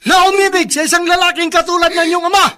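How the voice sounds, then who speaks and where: Casting: cruel domineering uncle in radio melodrama, very high-pitched, sharp, forceful, scornful scolding. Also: male voice, flat delivery, quick pace. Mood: scornful anger. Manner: harsh, scolding, insulting. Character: cruel, hot-tempered, domineering uncle berating his nephew. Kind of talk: melodramatic radio drama acting.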